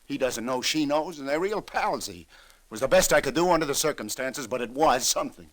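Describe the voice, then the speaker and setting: An actor playing a kindly, wry police lieutenant with an informal, insistent manner in an old radio drama. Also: male voice, medium pitch, animated, brisk, fairly bright tone, natural voice, rough recording. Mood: defensive. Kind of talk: 1940s-50s radio drama acting. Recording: old radio recording with music behind.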